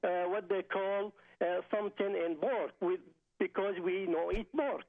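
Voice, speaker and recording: A man's voice: high voice, measured pace. A man from Iraq with an Iraqi Arabic accent, a native Arabic speaker calling in to a TV show in broken English, earnest and interrupting. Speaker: caller. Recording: tinny phone line.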